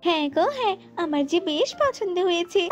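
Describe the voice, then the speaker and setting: A woman's voice: very high voice, voice pushed up, heavily acted. A woman voicing a plaintive, confused young bride in a cartoon, on a dull lo-fi recording. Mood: pleased. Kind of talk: Bengali cartoon voice acting.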